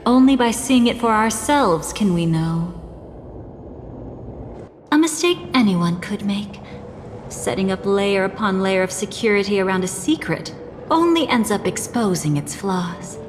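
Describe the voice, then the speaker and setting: Woman playing a slow, teasing, enigmatic woman. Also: medium pitch, animated, a little hiss or hum, natural voice. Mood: measured, ominous. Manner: teasing, unhurried, composed. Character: enigmatic, teasing woman with a calm taste for danger. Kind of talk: video game cutscene voice acting. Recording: long echoing reverb, game music behind.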